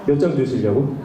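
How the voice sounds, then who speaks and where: Male stage singer, lively up-and-down delivery, chatty crowd banter, booming hall echo. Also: distant mic, noisy background. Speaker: trot singer performing on stage. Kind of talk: stage patter with a live audience. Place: large concert hall stage before about two thousand people. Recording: echoey hall with backing music.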